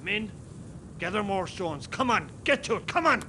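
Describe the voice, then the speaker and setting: An actor with an Irish accent playing a brisk, bossy rebel section leader in a radio play. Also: male voice, high voice, big pitch swings, bright, forceful tone, slight background noise, heavily acted. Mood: urging, bossy.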